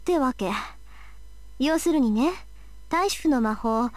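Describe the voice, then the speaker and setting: A woman playing a bossy, sharp-tongued young female mage in an anime visual novel, brisk and assertive. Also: sits high, expressive, dry recording.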